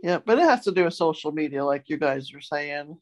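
A woman's voice: low voice, lively intonation, fairly quick, darkish tone. A woman co-hosting a casual talk segment, chatting and reading out word definitions. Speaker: co-host leading a word segment. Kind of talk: casual chat, reading out dictionary entries.

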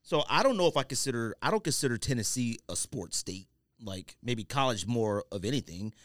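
A man's voice: mid-range voice, fairly quick, no echo, clean studio sound. A man with an American accent bantering casually about sports as a podcast co-host, opinionated and full of slang. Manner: animated, opinionated, informal slang.

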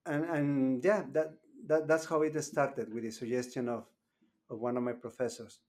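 A man's voice: mid-range voice, fairly even intonation, moderate pace, a little mellow. Man, hesitant and thoughtful. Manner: hesitant, repeats words while searching for phrasing. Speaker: graduate student guest. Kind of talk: conversational interview.

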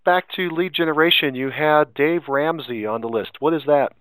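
A man's voice: a little high, normal speed, fairly bright tone, clear, resonant tone, no room sound. A man hosting an interview, asking structured questions in an orderly, focused way that guides the conversation. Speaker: podcast interviewer, host.

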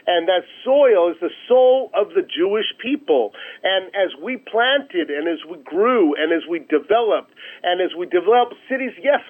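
A man being interviewed as an organization's leader, speaking emphatically and persuasively with rhetorical questions and repetition. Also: high-pitched. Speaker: interview guest, nonprofit chief executive. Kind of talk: radio interview, persuasive storytelling. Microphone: telephone. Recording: tinny, narrow-band telephone line.

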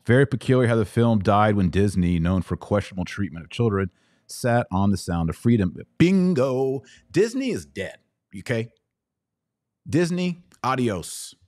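A man's voice: on the low side, big pitch swings, moderate pace, darkish tone, no room sound, studio quality. A middle-aged American man hosting a casual livestream, talking emphatically and with opinion in short, often repeated phrases. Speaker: podcast host taking live audience questions. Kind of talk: casual livestream monologue, reading and answering comments.

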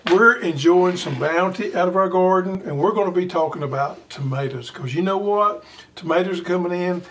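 A man hosting a garden radio show in casual chat, his voice slightly raspy as he recovers from illness. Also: sits high. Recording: distant mic, faint noise.

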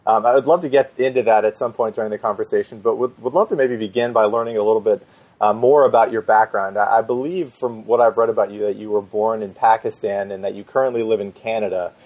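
A man's voice: lowish voice, fairly even intonation, fast talker, phone-quality sound. A man hosting an interview show, asking questions politely with many restarts and repeated words. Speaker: podcast host.

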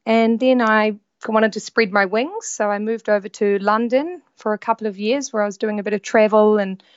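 A woman's voice: mid-range voice, steady tone, moderate pace, dry recording. A young woman with a New Zealand accent, a guest speaking easygoingly with some hesitation over a call. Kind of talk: remote interview over a call. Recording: dry, slightly dull call audio.

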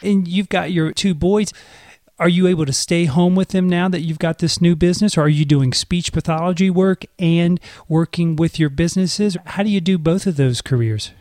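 A man's voice: sits high, normal speed, darkish tone, no echo, studio quality. A man in his forties hosting a podcast interview, easygoing and joking, asking long rambling questions. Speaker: podcast host, speech therapist. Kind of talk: casual interview.

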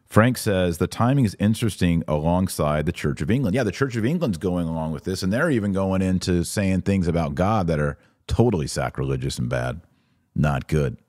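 A man's voice: low-pitched, animated, fairly quick, darkish tone, no echo, studio quality. A man hosting a live podcast, reading listener comments and giving informal, opinionated, emphatic commentary. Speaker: podcast host.

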